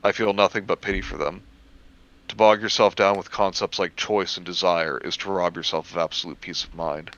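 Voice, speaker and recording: A man reading a first-person horror story aloud in measured narration, stumbling over the occasional word. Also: deep voice, steady tone, fairly bright tone, dull audio.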